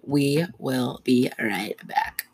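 A woman's voice: very deep voice, drawn-out words, over loud music. A woman co-hosting a podcast in casual, joking chat, full of fillers. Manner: animated, joking, frequent "like". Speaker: podcast co-host.

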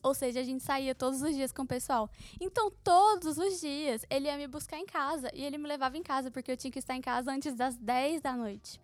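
A woman's voice: high voice, brisk, hardly any pauses, dry recording. A young woman, co-hosting a casual couple podcast and telling stories with teasing laughs. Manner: laughing, teasing, lively storytelling. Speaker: podcast co-host.

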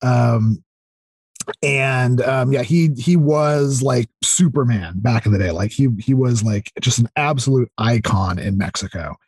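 Male interview guest, thirties, rough-edged husky tone, very dry sound, eager but halting explainer. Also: medium pitch, a little mellow, no room sound. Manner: halting, filler-heavy, enthusiastic explaining. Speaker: podcast guest, audio drama creator. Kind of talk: casual interview chat.

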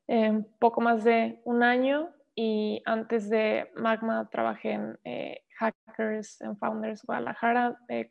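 A young woman, an investment analyst, speaking in a measured way with frequent filler pauses in a casual interview. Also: fairly high pitch, creaky. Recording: tinny phone-like call audio.

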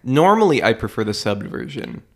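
A man's voice: medium pitch, big pitch swings, moderate pace, gravelly. A young man co-hosting a casual movie podcast, chatty and laughing often. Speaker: podcast co-host. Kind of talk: casual chat.

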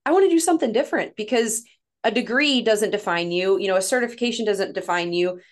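A woman in her thirties with a Midwestern US accent, a podcast guest who talks in a chatty way, laughs often and gets lively when quoting others. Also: mid-range voice, fast talker. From Missouri, USA. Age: 30s. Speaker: guest, women's coach. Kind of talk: casual podcast interview.

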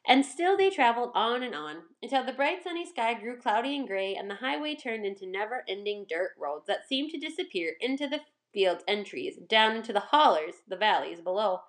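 A woman reading a children's story aloud in expressive scripted narration. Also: fairly high pitch, normal speed, hardly any pauses.

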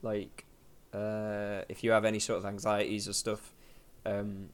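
A man's voice: fairly low pitch, monotone, unhurried, smooth. A man hosting a casual podcast chat, rambling with lots of fillers and restarts. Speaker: podcast host.

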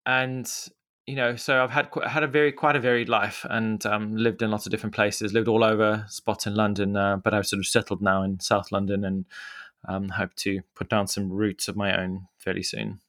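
A man's voice: lowish voice, level tone, moderate pace, a bit bright, dry recording, studio quality. A man in his forties from Zimbabwe with a Zimbabwean accent, a podcast guest talking in a casual, rambling way with many fillers. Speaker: podcast guest, media co-founder. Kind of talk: casual conversational interview.

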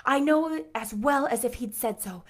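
An eager, imaginative young girl, put on by a woman reading a storybook aloud, talking quickly and earnestly in a high, bright voice. She is chatty and fond of grand words. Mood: brisk, confident. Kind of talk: audiobook character voice.

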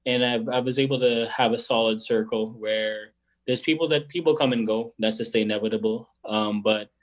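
A man's voice: on the low side, steady tone, moderate pace. A young man, answering interview questions in a reflective, hesitant way, with many fillers and restarts. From Philippines. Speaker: guest musician.

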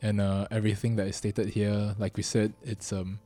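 A man in his twenties with a Singaporean accent, hosting a podcast in casual chat, quick and chatty with many fillers. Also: lowish voice, level tone, warm tone, faint music behind. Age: 20s. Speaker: podcast host.

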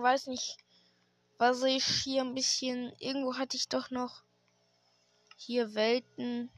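Hoarse, slow-talking young woman gamer. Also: high-pitched. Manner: hesitant, rambling, many fillers and restarts. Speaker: gaming channel host. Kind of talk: casual gameplay commentary. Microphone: desk microphone.

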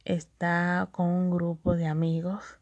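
A woman's voice: lowish voice, completely flat delivery, takes it slowly, a little mellow, no room sound. A woman with a Dominican accent hosting a solo podcast, chatty, laughing often and repeating catchphrases. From Dominican Republic. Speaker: podcast host.

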